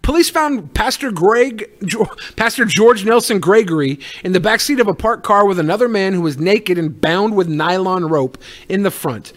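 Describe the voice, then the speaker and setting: A man's voice: high-pitched, animated, normal speed, no echo. A man hosting a podcast, casually reading news stories and riffing on them with irreverent, jokey swearing. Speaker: podcast host.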